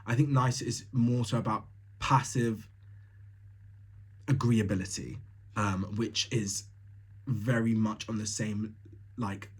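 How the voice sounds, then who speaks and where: A man's voice: fairly low pitch, fairly even intonation, slow pace, pauses often, breathy edge. A young man from Tanzania hosting a solo podcast, talking casually and bluntly, laughing often with lots of fillers. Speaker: podcast host.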